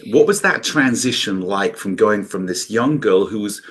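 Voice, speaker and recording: A man's voice: on the low side, expressive, moderate pace, fairly bright tone. Upbeat man hosting a podcast. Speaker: podcast host. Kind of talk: interview podcast.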